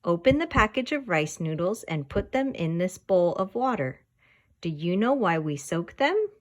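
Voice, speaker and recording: A woman voicing a gentle, patient mother in a children's storybook, buttery and encouraging as she explains things to her young daughter. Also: lowish voice, big pitch swings, normal speed.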